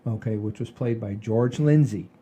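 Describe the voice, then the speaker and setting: A man's voice: lowish voice, normal speed, warm tone, breathy edge. A man in his sixties hosting a casual comedy chat show in a folksy, rambling, enthusiastic way. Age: 60s. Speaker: podcast host.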